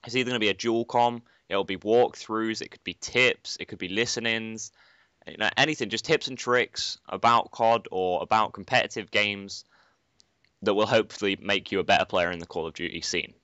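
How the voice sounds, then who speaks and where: A man's voice: fairly low pitch, normal speed, sharp tone, smooth, no echo, muffled recording. A teenage boy hosting a casual gaming commentary, chatty and rambling with lots of filler phrases. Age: teens. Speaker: channel host, gamer.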